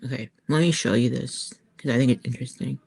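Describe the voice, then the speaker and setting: A woman artist chatting informally to her viewers as she works, halting and thinking aloud. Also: very deep voice, gravelly, darkish tone, husky. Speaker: author-artist hosting a creative livestream. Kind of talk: casual chat to viewers while working on art.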